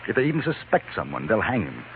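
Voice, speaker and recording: An actor playing a reserved, principled gentleman adventurer in a 1950s radio western, precise and careful. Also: lowish voice, wildly swooping pitch, fast talker. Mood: firm, reasoned. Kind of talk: 1950s radio drama acting and narration. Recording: tinny, noisy 1950s radio recording.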